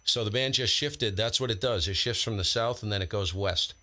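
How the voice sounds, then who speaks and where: A man's voice: fairly low pitch, a little fast, pushed and bright. An American man live-streaming ham radio with casual, blunt, slangy commentary and radio calls. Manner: blunt, slangy, exasperated grumbling.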